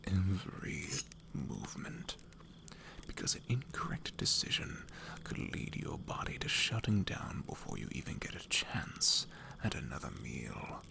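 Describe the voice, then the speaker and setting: A cold, hardened killer acted by a man in a fantasy audio roleplay. His deep voice is a menacing, gravelly whisper, slow and deliberate, every phrase weighed out. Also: sing-song, exaggerated voice. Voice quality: breathy, gravelly near-whisper. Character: menacing, hardened male killer who has known starvation.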